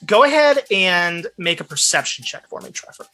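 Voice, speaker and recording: Game master for a tabletop show: bright, forward voice, conversational scene-setting, music underneath. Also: medium pitch, very animated, normal speed. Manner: conversational, descriptive, addressing players directly. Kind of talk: improvised tabletop game narration. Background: background music.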